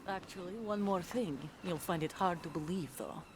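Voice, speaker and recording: An actress with a Russian accent playing a tough female mercenary commander, sharp and blunt. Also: on the low side, moderate pace, background noise, lightly acted. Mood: terse.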